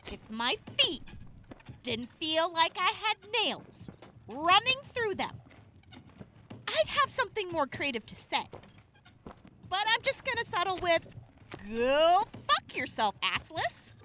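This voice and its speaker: A woman's voice: very high-pitched, big pitch swings, slow, long pauses, pushed and bright, a little hiss or hum, exaggerated voice. A woman playing a blunt, sarcastic, weary wasteland soldier who complains in a post-apocalyptic audio drama. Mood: sardonic.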